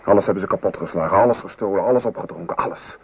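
A low-voiced, weary artillery sergeant. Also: male voice, rapid-fire, husky, heavily acted. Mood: grim, appalled. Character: weary male French artillery sergeant recounting war horrors. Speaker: actor. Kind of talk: radio play acting. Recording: noisy old radio play recording.

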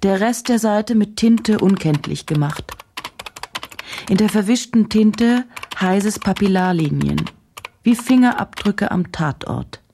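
An actress playing a driven, troubled journalist in a German radio drama, speaking with brooding, indignant intensity and deliberate phrasing. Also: female voice, medium pitch, animated, warm tone, slight background noise. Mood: tense, analytical. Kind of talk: German radio drama, dialogue and inner monologue.

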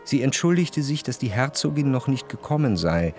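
An actor playing a reflective society narrator in a literary radio drama, with a measured, introspective way of talking. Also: male voice, medium pitch, sing-song, darkish tone, soft background music, lightly acted. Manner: measured, elaborate, introspective phrasing. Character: reflective male narrator recalling high-society soirées.

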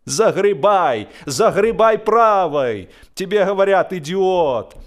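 A man voicing bossy onlookers on a boat deck in an audiobook, barking loud, drawn-out orders. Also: high-pitched, animated, voice pushed up. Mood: furious, bossy. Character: bossy, shouting boat-deck onlookers scolding clumsy rowers.

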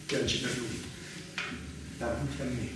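A tormented, jealous general acted by a man in a Shakespearean radio tragedy. His delivery is theatrical, brooding and accusing, at a medium pitch. The voice rings in a very roomy space, some way from the microphone, under heavy noise. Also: fairly even intonation, unhurried, close to an everyday voice. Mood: brooding accusation. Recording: roomy, distant, very noisy stage recording.

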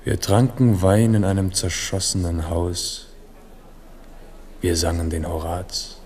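A man playing a reflective king, speaking in an intimate, weighty monologue with pauses for a radio drama. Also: sits low, darkish tone. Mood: solemn, wistful. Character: ageing, reflective King Henri of France. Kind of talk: 1970s German radio drama acting.

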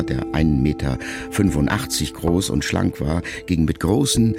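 Low gravelly guy reading a memoir audiobook, slow and calm, music clearly playing under him. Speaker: audiobook narrator.

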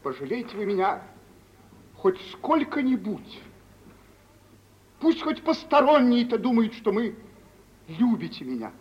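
An actor playing a vain young bridegroom with wounded pride, declamatory and self-pitying, in 1940s radio drama style. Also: male voice, high voice, big pitch swings, measured pace, long pauses. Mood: bitter, self-pitying. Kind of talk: 1940s theatrical radio acting. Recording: distant, muffled old radio play recording.

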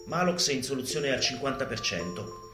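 A man performing a satirical stage monologue in an ironic, emphatic manner with dramatic pauses. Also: medium pitch, lively intonation, reverberant. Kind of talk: theatrical satirical monologue in a live show. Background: live guitar music.

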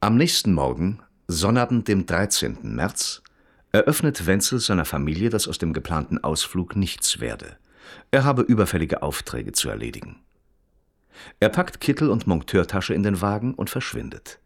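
A man's voice: sits low, big pitch swings, moderate pace, creaky, no echo, clean studio sound, heavily acted. A man playing a radio reporter who narrates a crime case in a formal, precise, matter-of-fact documentary style. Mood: sober, factual.

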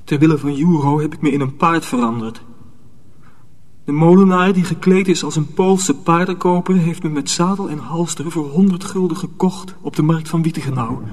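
Man acting a defiant high-voiced young apprentice. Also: normal speed, darkish tone, natural voice. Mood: desperate, intense. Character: stubborn, defiant young male apprentice sorcerer. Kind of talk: radio drama acting.

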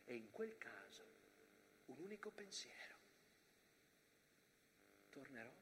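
An actor playing a mountain that tells of soldiers at war in a declamatory theatrical manner. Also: male voice, medium pitch, sing-song, slow. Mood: melancholy, hushed. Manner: declamatory, swinging from hushed to shouting. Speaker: stage actor. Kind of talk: theatrical monologue, dramatic narration. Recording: reverberant hall with background noise.

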